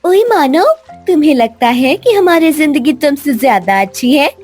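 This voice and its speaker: Woman as a high-voiced, teasing cartoon mermaid. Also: expressive, moderate pace, a little mellow, soft background music. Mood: teasing, wistful. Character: teasing, wistful young mermaid. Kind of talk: cartoon storybook voice acting.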